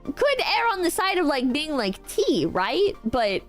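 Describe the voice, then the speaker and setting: A woman streamer with a nasal, stuffy-nosed voice and a sore throat from a cold, chatting excitably and yelling and swearing in bursts. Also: sits high, a little slow, faint music behind. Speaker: streamer reading out online reviews. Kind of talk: casual livestream chat.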